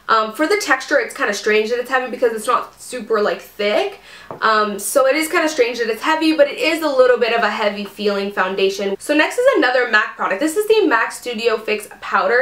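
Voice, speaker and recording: A young woman chats through her favorites with lots of fillers, sounding a little under the weather from a cold. Her voice sits a touch high, and the room gives it a noticeable echo. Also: a bit bright. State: sick, ears plugged. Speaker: beauty vlogger. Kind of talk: casual chat to camera.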